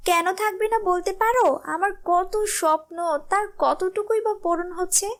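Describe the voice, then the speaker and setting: A woman's voice: very high voice, normal speed. A woman voicing a greedy, bossy, discontented wife in a cartoon moral story, with a nagging, exclamatory way of talking. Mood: sulky.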